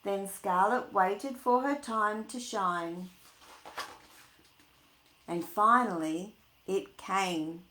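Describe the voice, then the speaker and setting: A woman reading a picture book aloud to children, chatting informally and putting expressive, singsong emphasis on the words. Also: medium pitch, very slow, pauses often, slight background noise. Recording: echoey room.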